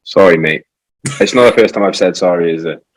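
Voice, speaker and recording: A man's voice: deep voice, fairly quick, no echo. A man with a Welsh accent co-hosting a casual chat and firing off wry one-liners. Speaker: co-host.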